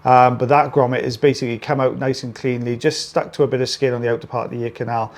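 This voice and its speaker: A man with a British accent, an ear clinician, calmly explaining a procedure in relaxed, chatty talk with frequent fillers. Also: medium pitch, quick pace. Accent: British English. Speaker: ear wax removal clinician.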